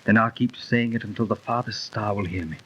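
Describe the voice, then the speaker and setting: A low-pitched, gallant young army captain, ardent and stubborn in a theatrical 1930s radio manner. The recording is old and muffled. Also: male voice, fairly quick, fairly bright tone, slightly rough. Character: dashing, devoted young British army captain, stubbornly scornful of superstition. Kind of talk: 1930s radio drama acting.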